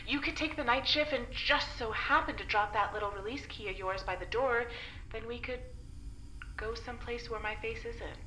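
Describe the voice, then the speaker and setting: A woman's voice: on the high side, normal speed, pushed and bright. A woman playing a sardonic, defiant captive in an audio drama, teasing and blunt in manner. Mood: challenging. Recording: roomy sound with faint noise.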